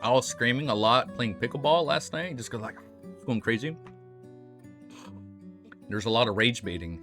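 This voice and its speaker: A young man streaming a reaction, reading a novel aloud with excited, swearing outbursts, his voice a bit hoarse. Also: medium pitch, normal speed, faint music behind.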